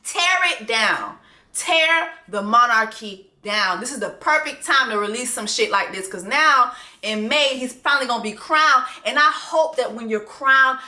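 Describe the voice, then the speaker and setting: A woman's voice: a little high, sharp tone. A woman vlogger giving a profane, fired-up, mocking rant about a docuseries. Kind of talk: ranting commentary on a docuseries. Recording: echoey room.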